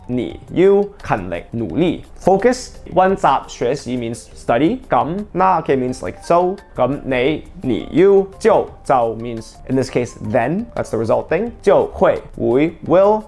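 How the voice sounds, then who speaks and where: A man teaching a language lesson in a casual, animated way, often checking in with "right?" and reading out example sentences. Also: medium pitch.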